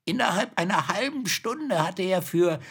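A shady old business crony in a radio drama. His voice is gravelly and husky, and it swoops widely as he stretches his words with sentimental self-importance. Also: high voice, expressive, measured pace, fairly bright tone, heavily acted. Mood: wistful. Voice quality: raspy, creaky. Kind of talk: radio play acting.

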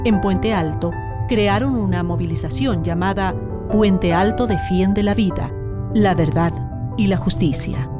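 A woman with a Chilean accent reading scripted documentary narration as a narrator. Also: on the low side. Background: music.

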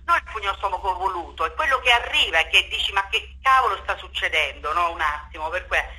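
Woman in an interview, really bright sharp voice, talks with passion and repeats herself to hammer it in. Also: on the low side, lively intonation, quick pace, low-quality recording. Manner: impassioned, emphatic, repeats words, seeks agreement. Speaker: theatre director being interviewed. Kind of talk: spontaneous radio interview talk.

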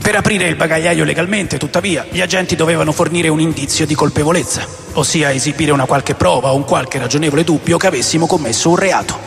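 An actor playing an aging, rebellious rock musician who recounts his wild past in a deliberate, theatrical radio drama narration with a gravelly voice. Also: fairly high pitch, fairly bright tone. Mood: animated, indignant. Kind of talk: dramatic first-person memoir narration. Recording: long reverb over music.

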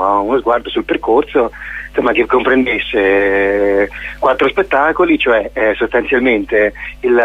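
Man, husky voice, halting speech full of fillers, heard over a tinny phone line. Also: mid-range voice, dry recording. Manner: hesitant, many fillers and restarts. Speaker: theatre artist, interview guest. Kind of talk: radio phone interview.

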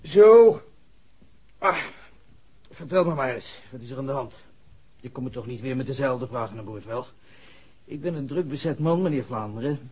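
Husky, evasive young playboy, muffled radio. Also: male voice, mid-range voice, expressive, normal speed, pauses often, a little mellow. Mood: grumbling. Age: young adult. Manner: hesitant, indignant, colloquial. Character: young male playboy star, evasive, grumbling and defensive. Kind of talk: 1960s radio drama acting. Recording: dull 1960s radio recording.

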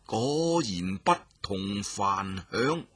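A man voicing an expressionless male warrior who speaks in a flat monotone with no rise or fall, slow and low. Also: breathy edge, no echo, exaggerated voice. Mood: cold, sneering. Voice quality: flat, monotone, drawn-out delivery.

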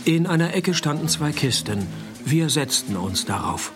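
A man's voice: on the high side, unhurried, music in the background. An actor playing a dry, sardonic private detective, narrating his case in a radio play. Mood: dry narration. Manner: deadpan, laconic, sardonic.

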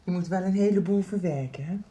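A woman playing a concerned, caring family member in a radio soap, asking questions gently. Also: lowish voice, fast, close to an everyday voice.

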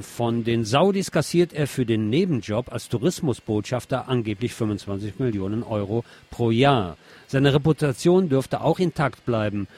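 A man's voice: medium pitch, darkish tone. A man presenting news and commentary on radio, reading with wry, sardonic asides.

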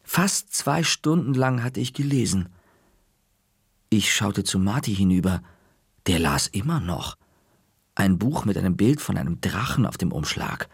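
A man reading a children's book aloud in the first person, with lively storytelling. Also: fairly low pitch, measured pace, creaky, slightly rough, dry recording, studio quality. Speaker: audiobook narrator.

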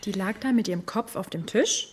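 A woman's voice: mid-range voice, husky, lightly acted. A woman playing a rambling young intern. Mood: chatty. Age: young adult. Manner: rambling, gossipy, quick-talking. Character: young female office intern, chatty. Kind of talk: radio drama acting.

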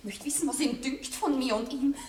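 An actress playing a proud, innocent young bride-to-be in a radio play, prim and defiant. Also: female voice, high-pitched, moderate pace, darkish tone, a little hiss or hum, lightly acted. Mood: indignant, defiant. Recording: distant, roomy old radio recording.

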